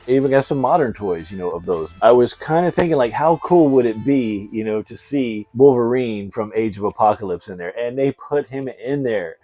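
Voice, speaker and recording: A man's voice: mid-range voice, warm tone, no room sound. A middle-aged man hosting a casual movie review, talking in a slangy, effusive and emphatic fan's way. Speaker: video host, movie reviewer.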